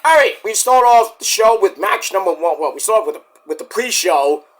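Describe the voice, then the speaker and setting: A hoarse man rambling casually through a solo wrestling review, joking and now and then breaking into shouts. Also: high voice, lively intonation, a little fast. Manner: rambling, jokey, with bursts of shouting.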